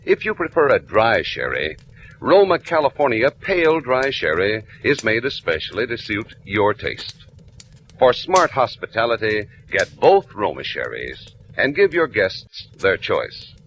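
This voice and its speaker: An American man announcing a 1940s radio commercial with a polished, measured sales delivery. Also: on the low side, very animated, fairly bright tone. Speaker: radio announcer reading sponsor ad. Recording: noisy vintage 1940s radio recording.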